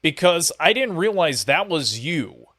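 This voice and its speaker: A man's voice: fairly high pitch, very animated, a little slow, sharp tone. A man chatting as a podcast interviewer in a casual, rambling way over an online voice call. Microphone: voice call on Discord.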